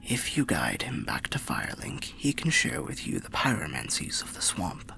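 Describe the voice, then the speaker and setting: Casting: male performer as ancient undead soul for fantasy roleplay; low-pitched, very animated intonation, softly whispered, genial and unhurried. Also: full character voice. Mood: helpful, musing. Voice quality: low gravelly voice, half-whispered. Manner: unhurried, musing, archaic fantasy phrasing. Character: old undead soul, genial storyteller by a bonfire. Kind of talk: whispery ASMR roleplay narration.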